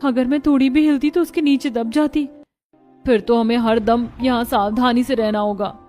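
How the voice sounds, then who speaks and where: Worried young wife voiced by a woman, family cartoon melodrama, higher register, earnest, light music bed. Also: steady tone, moderate pace, dark tone, close to an everyday voice. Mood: alarmed. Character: worried daughters-in-law of struggling cartoon family.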